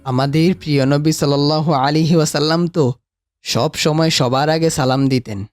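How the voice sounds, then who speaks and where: Cartoon grandfather, male actor: gentle, devout and instructive, pitched a little high. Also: steady tone, moderate pace, close to an everyday voice. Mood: gentle teaching. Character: kindly, pious grandfather teaching his grandson. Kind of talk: animated cartoon voice acting.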